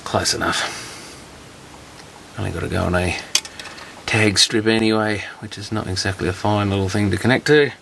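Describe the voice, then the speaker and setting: A man's voice: fairly low pitch, moderate pace. A man giving casual hands-on repair commentary, muttering and thinking aloud as he works. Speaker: electronics repair hobbyist presenting a teardown. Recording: clear background noise with clicks.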